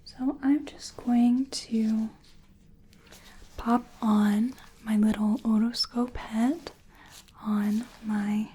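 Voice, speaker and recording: A woman voicing a gentle, attentive clinician in a soft-spoken ASMR medical roleplay, breathy and often whispering, with a reassuring manner. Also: on the high side, fairly even intonation, drawn-out words, heavily acted. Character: gentle, attentive female clinician giving a check-up.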